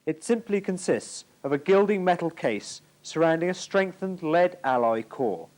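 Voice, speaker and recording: A man's voice: on the high side, lively intonation, normal speed, a little mellow. A British man giving formal, precise scripted narration for an instructional military training film from the 1980s.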